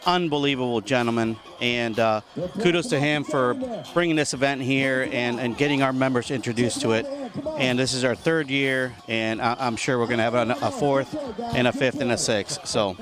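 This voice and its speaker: A man's voice: medium pitch, fairly even intonation, normal speed, a crowd in the background. A man at an outdoor tennis event, cheerful and using plenty of fillers.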